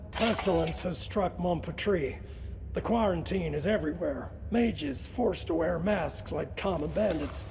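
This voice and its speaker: An actor playing a brisk, gossipy prison guard in a fantasy video game. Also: male voice, high-pitched, noisy background. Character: Bastian, bossy chatty prison guard man in fantasy.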